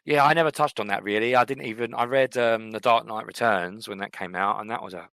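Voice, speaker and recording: Male podcast co-host in his thirties; low, forceful voice, reflective and rambling. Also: steady tone, brisk, gravelly, no room sound, clean studio sound. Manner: reflective, rambling with many fillers and restarts. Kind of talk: casual chat.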